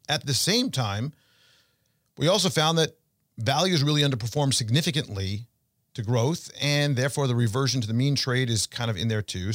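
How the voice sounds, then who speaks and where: A man hosting a financial talk show, speaking conversationally and emphatically to listeners, with rhetorical questions and deliberate pauses. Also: medium pitch, no room sound, studio quality. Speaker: financial show host and portfolio manager. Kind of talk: conversational investment commentary addressed to listeners.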